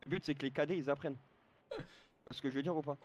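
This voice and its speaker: Guy talking fast in online rp chat, lecturing like a mod, keeps checking you get it. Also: fairly high pitch, fairly even intonation, darkish tone, dull audio. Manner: lecturing, repeatedly checks he is understood. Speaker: game server moderator. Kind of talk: argumentative explaining in online role-play chat.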